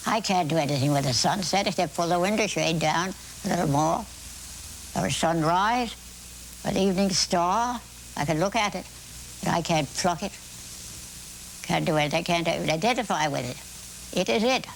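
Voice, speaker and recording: An elderly American woman in her eighties speaks in a low register with a gravelly creak. She tells her stories deliberately, pausing and repeating for dramatic weight. A light hiss of background noise sits behind her. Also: low-quality recording. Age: 80s. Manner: deliberate, dramatic phrasing with pauses and repetition. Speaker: amateur conductor being interviewed. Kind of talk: reflective interview storytelling.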